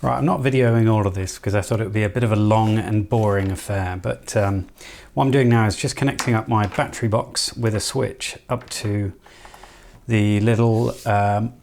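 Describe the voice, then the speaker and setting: Low-voiced man, gravelly edge. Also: steady tone, normal speed, darkish tone, slight background noise. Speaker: electronics hobbyist presenter. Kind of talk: casual hands-on build commentary.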